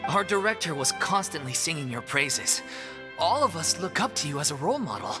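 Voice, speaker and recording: A man's voice: on the high side, very animated, fairly quick, over music. An actor voicing an earnest male member of a theatre troupe in a video game, telling things expressively. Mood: sincere.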